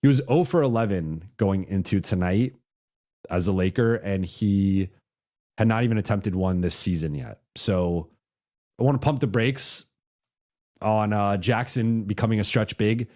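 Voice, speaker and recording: A man with a low, mellow voice, stuffy and hoarse as he loses it. He talks in a loose, halting way, restarting his sentences as he reacts to a game live and answers viewer messages. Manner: halting, with frequent restarts and "like" fillers. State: congested and losing his voice. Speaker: basketball reporter hosting a livestream. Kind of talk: casual live reaction, reading super chats.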